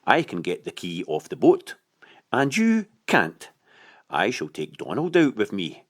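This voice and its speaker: A man's voice: on the low side, wildly swooping pitch, exaggerated voice. Man doing a cheeky, haughty little girl. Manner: slow, deliberate, taunting.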